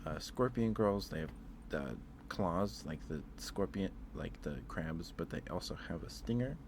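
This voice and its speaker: Male ASMR streamer, deep register, informal halting delivery, audibly noisy recording. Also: expressive. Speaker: live streamer. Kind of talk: casual ASMR livestream chat.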